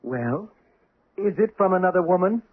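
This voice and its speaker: A husband coaxing and defending himself. His husky voice moves a lot in pitch. It is heard through a narrow, tinny old radio broadcast. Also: lively intonation, moderate pace. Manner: soothing, defensive. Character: placating middle-aged husband. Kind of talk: 1970s radio drama acting.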